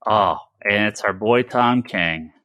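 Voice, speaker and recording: A man's voice: fairly low pitch, slow pace, sharp tone. A man hosting a casual comics podcast, talking emphatically and with strong opinions, often restarting and repeating phrases. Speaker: podcast host, comic store owner.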